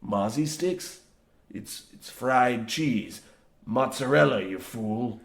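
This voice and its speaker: A man playing a gruff old coal mine foreman in an old-time radio comedy, blasé and folksy in archaic dialect. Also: mid-range voice, animated, takes it slowly. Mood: blustering, indignant. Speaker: radio play actor.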